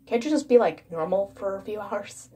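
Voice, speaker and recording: A woman's voice: medium pitch, animated, moderate pace, warm tone, slightly rough. A woman voicing an irritated but protective teenage older brother in a horror story, scolding in casual slang. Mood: annoyed. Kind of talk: horror story narration with acted dialogue.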